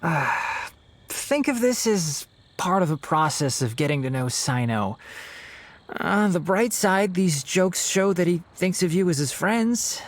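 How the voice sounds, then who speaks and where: A man's voice: high voice, expressive, a little slow. An actor playing a sensible, long-suffering young male scholar in a video game, explaining things with dry patience. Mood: patient. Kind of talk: video game character acting. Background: faint game music.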